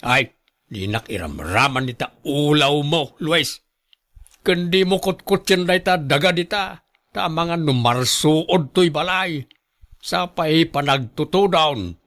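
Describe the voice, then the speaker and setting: A man playing a stern, weary older father in a radio drama, admonishing in drawn-out phrases. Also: fairly high pitch, lively intonation, fairly bright tone, exaggerated voice. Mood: stern warning. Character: stern, weary old father scolding his idle son. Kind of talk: Ilocano radio drama acting.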